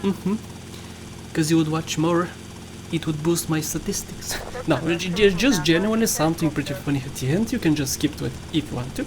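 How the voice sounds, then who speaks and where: Chatty Bulgarian-accented man, high voice. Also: brisk, crowd noise, low-quality recording. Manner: chatty, laughs often, playful self-mocking. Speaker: gaming YouTuber. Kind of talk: casual gameplay commentary.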